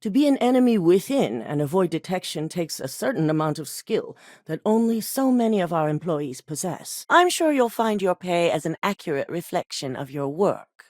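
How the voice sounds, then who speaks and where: A cool, calculating corporate boss. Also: female voice, on the low side, very animated, a little mellow, dry recording, studio quality. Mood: measured. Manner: measured, cold and businesslike. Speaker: game character. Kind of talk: video game voice acting.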